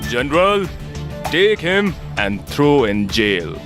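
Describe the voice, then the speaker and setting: A man's voice: medium pitch, big pitch swings, slow. A man voicing a stern, accusing royal guardsman in a cartoon moral story. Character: stern royal guardsman and king confronting a cheat. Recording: music behind the voice. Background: background music.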